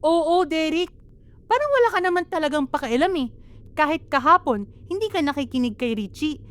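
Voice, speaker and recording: High-voiced, fretful Filipino mother, acted by a woman. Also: animated, brisk, fairly bright tone, dry recording. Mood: angry scolding. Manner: scolding, exclamatory. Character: worried, nagging, quick-to-scold mother of grown children. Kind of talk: amateur radio drama acting.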